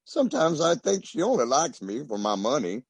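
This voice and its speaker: Rambling, husky, high-voiced pickle tycoon played by a man. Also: big pitch swings, normal speed, no echo, heavily acted. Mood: lonesome boasting. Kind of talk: 1940s radio comedy acting.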